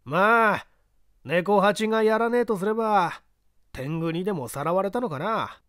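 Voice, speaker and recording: A man voicing rough rustic construction laborers in a dramatic audiobook reading, with blunt colloquial speech. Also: high voice, measured pace, a bit bright, no echo, clean studio sound, pitched above the performer's own voice. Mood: musing. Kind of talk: dramatic audiobook character acting.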